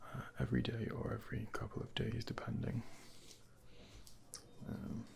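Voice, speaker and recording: A man whispering gently and reassuringly in an unhurried ASMR role play as a junior doctor, with a breathy, creaky edge, close to a binaural mic. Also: medium pitch, monotone. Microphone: close binaural microphone.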